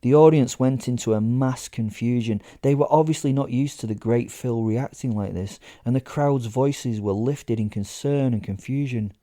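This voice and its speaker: A man narrates a darkly comic horror story in the first person. His voice is soft-edged and mellow at an average pitch, recorded very dry, with wry conversational asides. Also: normal speed, few pauses, no echo. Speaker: narrator and podcast host.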